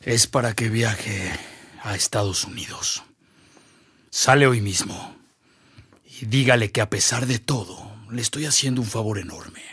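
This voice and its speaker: Radio drama villainous official: very husky, unhurried, haughty. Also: male voice, on the low side, fairly bright tone, no echo, exaggerated voice. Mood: condescending. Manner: condescending. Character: smug, haughty, powerful official.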